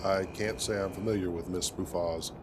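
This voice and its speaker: Low-voiced man roleplaying a spaceship captain, noisy. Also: steady tone, moderate pace. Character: steady, dry-humored male spaceship captain adventurer. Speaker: gamer voicing his player character. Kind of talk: in-character roleplay over gameplay. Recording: clearly noisy, game ambience behind.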